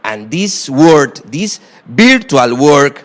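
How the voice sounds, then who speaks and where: A man with a strong Costa Rican Spanish accent lectures at a university in English that is not his first language. He pauses, restarts and fills gaps often, then leans hard on key words. His voice sits a little high for a man. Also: very animated, fairly bright tone. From Costa Rica. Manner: halting non-native English with frequent fillers and restarts. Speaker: guest lecturer. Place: lecture hall.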